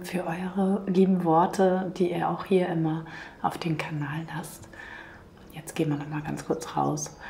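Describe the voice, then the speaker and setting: Hoarse lady painter chatting softly, low mellow voice, kinda whispery. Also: a little hiss or hum. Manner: soft, often half-whispered, gentle. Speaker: painting channel host. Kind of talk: calm chatty vlog talk.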